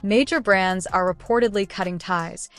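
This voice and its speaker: A woman narrates scripted news in a dramatic style. Her pitch sits in the middle range for a woman, and faint music plays behind her. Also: moderate pace.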